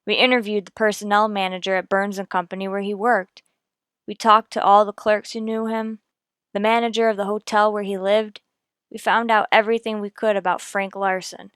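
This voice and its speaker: A woman's voice: medium pitch, level tone, brisk, smooth, dry recording, clean studio sound, lightly acted. A woman playing a no-nonsense, methodical police detective in a radio drama, clipped and matter-of-fact. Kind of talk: old-time radio police drama, scripted narration and dialogue.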